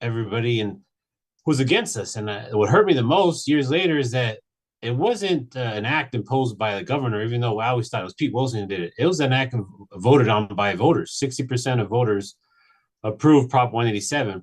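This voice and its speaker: A man in his late thirties, from Mexico, telling his personal story on a panel in an earnest, halting way, with many restarts. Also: medium pitch, rough recording. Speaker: panel speaker. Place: virtual event.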